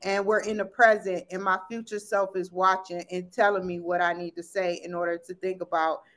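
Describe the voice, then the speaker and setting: A woman's voice: lowish voice, level tone, fairly quick, a bit bright. A woman in her thirties, a podcast guest, talking in a rambling, enthusiastic way and laughing often. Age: 30s. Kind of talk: casual chat.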